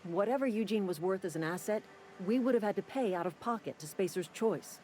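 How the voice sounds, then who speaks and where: An actress playing a blunt, pragmatic small-town company worker in a video game, plain-spoken and folksy. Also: female voice, medium pitch, animated, a little fast, a little mellow, slight background noise, close to an everyday voice. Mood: blunt, relieved. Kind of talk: video game character dialogue.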